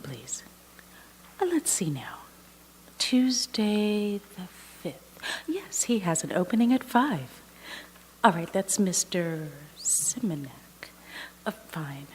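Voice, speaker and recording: A woman playing a guarded, loyal dental receptionist in an audio drama, husky, polite and officious. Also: on the low side, darkish tone, a little hiss or hum. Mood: businesslike, polite. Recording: reverberant hall.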